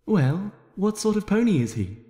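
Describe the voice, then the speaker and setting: A man voicing a shy, gentle young female pony with a hesitant, soft-spoken manner in an amateur story reading. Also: sits high, very animated, moderate pace, pitched above the performer's own voice. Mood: gentle questioning. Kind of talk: amateur fan fiction audiobook character voice. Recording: echoey room with long reverb.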